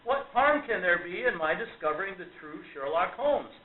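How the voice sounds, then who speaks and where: A man's voice: sits high, sing-song, normal speed, slight background noise. An actor playing a brash, stubborn younger showman in a radio play, declaiming with insistent zeal. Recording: roomy, muffled amateur recording.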